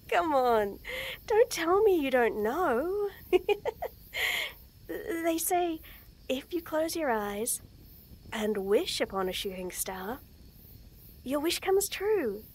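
A young woman voicing a shy, nervous tomboy friend in a romantic roleplay audio, stammering, giggling and speaking softly. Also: high-pitched, sing-song, very slow, pauses often. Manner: stammering, giggly, soft-spoken. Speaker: voice actor.

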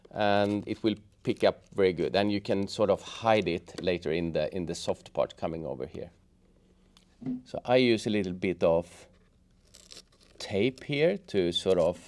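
A middle-aged man giving a casual hands-on tutorial, explaining step by step in a halting way. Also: lowish voice, darkish tone. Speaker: host of a how-to motorcycle gear channel. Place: indoors, office workshop room at a table.

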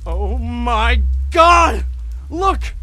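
An actor playing a panicked young husband fleeing monsters in a radio horror drama, crying out in terror. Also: male voice, very high voice, big pitch swings, drawn-out words, full character voice. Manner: panicked shouting.

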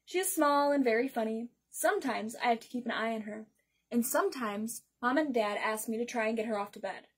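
A young woman with a high voice reads a children's picture book aloud. She hosts a storytime and speaks expressively. She addresses her young listeners directly. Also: brisk, slightly rough. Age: young adult. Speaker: library storytime host. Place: small bedroom indoors.

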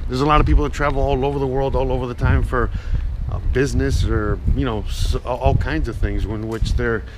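A man in his thirties from Miami, a native Spanish speaker with an American accent, talking in a casual, halting, rambling way to camera as he walks. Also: medium pitch. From Miami, United States. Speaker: vlogger. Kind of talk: casual walking vlog to camera. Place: city street outdoors, walking. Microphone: action camera at arm's length. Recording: noisy outdoor street with traffic. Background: traffic and street ambience.

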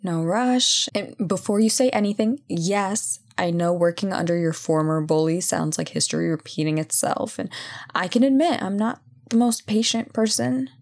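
A woman playing a self-assured but guilt-ridden CEO and former bully in an intimate audio roleplay, speaking conversationally with hesitant pauses. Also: on the low side, a little mellow. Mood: remorseful, earnest. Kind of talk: intimate ASMR roleplay acting.

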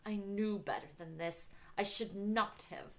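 A woman voicing a guilt-ridden, brooding young immortal man in an audiobook, with low, intense, icy reproach. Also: unhurried, a bit bright. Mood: anguished, remorseful.

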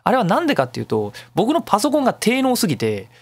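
A man's voice: high voice, huge pitch swings, fast, vocal fry, husky, dry recording. A man hosting a casual solo radio talk, chatty and digressive, explaining at length with self-mocking asides. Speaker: radio show host.

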